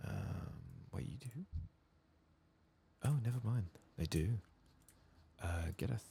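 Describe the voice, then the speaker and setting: A soft-spoken man murmuring ASMR commentary as he plays a video game. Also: fairly low pitch, lively intonation, unhurried, gravelly, a little hiss or hum. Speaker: ASMR gaming streamer.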